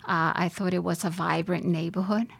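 An older woman from Puerto Rico, a judge, speaking as a podcast interview guest in a reflective way with many pauses, fillers and restarts. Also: on the low side, fairly even intonation, vocal fry, husky, no echo.

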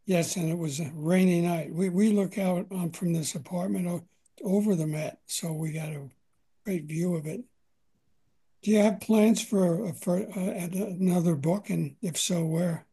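Male interviewer, breathy and warm, halting delivery with repeated words. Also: high voice. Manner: halting, repeats words while asking questions. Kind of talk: informal interview questions.

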